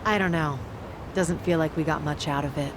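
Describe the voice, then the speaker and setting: Low-voiced, cool, skeptical companion. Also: female voice, moderate pace, background noise. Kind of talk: video game cutscene acting.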